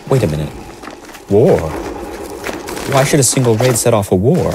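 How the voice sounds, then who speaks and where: A man's voice: medium pitch, big pitch swings, moderate pace. A man playing a put-upon, wheedling small-town mayor in an audio drama, with a sardonic, fussy, evasive manner. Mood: alarmed. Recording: noisy background ambience.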